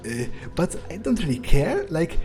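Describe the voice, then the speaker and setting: Halting non-native man over guitar music. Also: a little high, very animated, dark tone. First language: not English. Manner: halting, rambling, frequent restarts. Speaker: gamer, channel host. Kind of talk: casual out-of-character recap.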